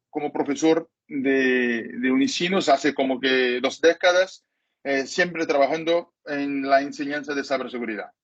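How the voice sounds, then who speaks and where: Husky man lecturing in halting Spanish. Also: a little high. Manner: hesitant, apologizes for his Spanish. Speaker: cybersecurity lecturer. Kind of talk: masterclass lecture. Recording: dull lo-fi recording.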